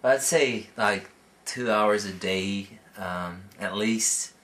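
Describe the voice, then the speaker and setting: A man's voice: fairly low pitch, slow pace, fairly bright tone. A man, by birth, answering interview questions casually and hesitantly, with many fillers and restarts. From Romania. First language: Romanian. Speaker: interviewee, competitive Scrabble player.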